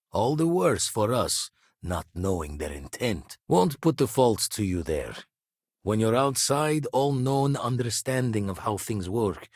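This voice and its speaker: Aging retired space pirate, video game character, wry and reflective, raspy edge, clipped folksy phrasing, close dry sound. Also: male voice, medium pitch, no echo. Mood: somber. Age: older.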